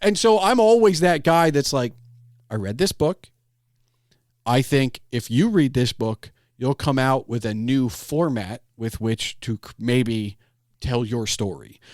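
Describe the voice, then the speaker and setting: A man chatting casually as a podcast host, telling anecdotes in an animated way and acting out imagined quotes. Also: medium pitch, unhurried, dry recording, clean studio sound.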